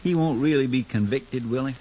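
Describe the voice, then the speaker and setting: An actor playing a meek, kind-hearted helper to a marshal in a western radio drama, deferential and plaintive. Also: male voice, mid-range voice, brisk, dark tone, lightly acted. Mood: worried. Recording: muffled, noisy old radio recording.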